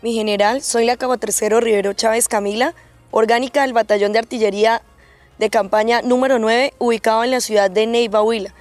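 A woman's voice: fairly high pitch, fairly even intonation, fairly quick, dry recording, soft background music. A woman in her early twenties with a Colombian accent, an army corporal introducing herself formally. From Santander, Colombia. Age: 20s. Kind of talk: formal military self-introduction.

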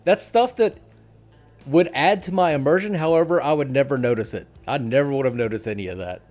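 Male host, middle-aged, medium pitch, conversational and appreciative, home headset setup, music behind. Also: fairly quick, a little mellow. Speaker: podcast host. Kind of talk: casual chat. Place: small room at home.

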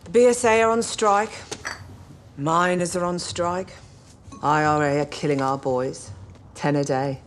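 An actress playing a blunt, sharp-tongued middle-aged matriarch. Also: female voice, steady tone, measured pace, slight background noise, lightly acted. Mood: stern. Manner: blunt, commanding, low and firm. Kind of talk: period TV drama.

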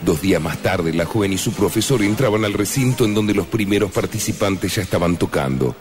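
A man's voice: low-pitched, a little fast, natural voice. A man playing the solemn, mock-serious storyteller of a romantic radio serial, reading scripted narration. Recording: music behind the narration.

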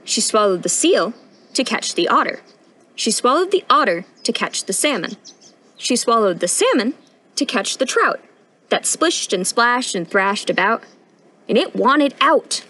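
Animated young woman reading a children's story. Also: on the high side, a little slow. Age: young adult. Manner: expressive, sing-song rhyming delivery with emphatic punchlines. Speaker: storytime reader, intern. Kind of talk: read-aloud children's picture book storytime. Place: outdoors on a wooden porch.

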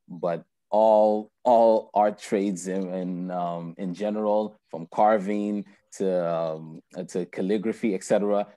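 Friendly young male host, low warm voice. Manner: friendly, filler-heavy, repeats words. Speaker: interview host. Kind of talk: casual interview hosting. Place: indoor room. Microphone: laptop webcam video call.

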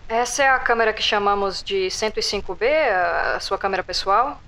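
A woman playing a composed doctor who questions a captive subject in an audio drama, measured and calmly probing. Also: mid-range voice, lightly acted. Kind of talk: audio drama interview acting.

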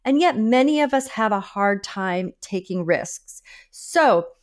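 A woman in her forties hosting a podcast interview from a home office over a video call, upbeat and enthusiastic with lively emphasis. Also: medium pitch, slow pace. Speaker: podcast host. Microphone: video call with a desk microphone.

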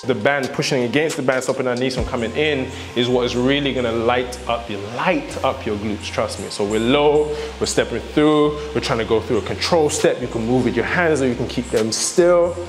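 A man's voice: medium pitch, moderate pace, hardly any pauses. A young man hosting a workout vlog in a home gym, joking and coaching through the exercises on a lapel mic. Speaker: fitness vlog host. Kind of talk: casual workout vlog chat. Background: music.

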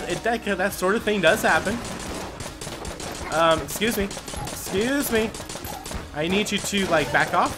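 Excitable young man with lively pitch. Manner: excitable, swears, frequent fillers and whoops. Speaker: gaming channel host. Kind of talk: casual let's play commentary. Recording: game music and gunfire behind voice. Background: game music and gunfire.

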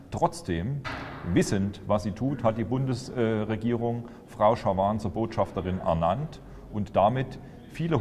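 A man's voice: lowish voice, a little mellow, in a large hall. A man in his fifties presenting a political video to camera, earnest and accusatory, with occasional fillers. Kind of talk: scripted political statement to camera. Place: indoors before a large window.

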